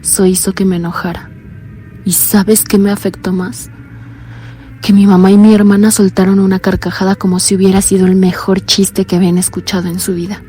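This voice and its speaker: An actress playing a blind young woman calling in to tell a frightening story, talking in a low, earnest, uneasy way. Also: little pitch movement, a little fast, dark tone, soft background music, close to an everyday voice. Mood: anxious. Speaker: caller. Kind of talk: radio drama acting.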